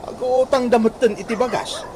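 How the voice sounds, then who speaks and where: A man's voice: very high voice, fairly even intonation, brisk. A man playing a worried, disapproving older father in a radio comedy-drama, with an Ilocano accent. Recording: clearly noisy audio.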